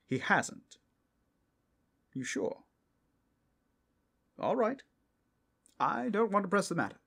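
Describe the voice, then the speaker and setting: A man's voice: sits high, big pitch swings, slow pace, creaky, a bit bright, breathy edge, exaggerated voice. A man playing a self-assured, well-meaning but blundering superhero in a comedic audio drama, with heroic bluster that slides into casual, earnest rambling. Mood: breezy, concerned.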